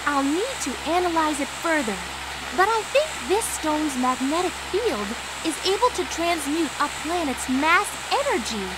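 A woman's voice: extremely high pitch, big pitch swings, normal speed, very noisy. A woman voicing a brainy, self-assured young female bird engineer in a video game cutscene, explaining things in precise technical terms. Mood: assured, explanatory. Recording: game audio under loud music.

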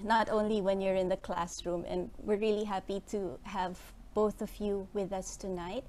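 Middle-aged woman host on a video call with an earphone mic, talky intro style, faint background hiss. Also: medium pitch. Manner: warm, chatty, slightly rushed with fillers. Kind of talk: introducing speakers on a webinar. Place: small room indoors.

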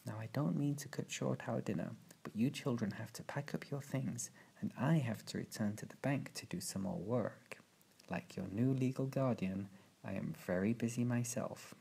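A busy, stiff middle-aged banker, as a male narrator plays him in a storybook. He sounds formal and matter-of-fact, with a very husky, gravelly and mellow voice. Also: medium pitch, normal speed. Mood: brisk, dismissive.

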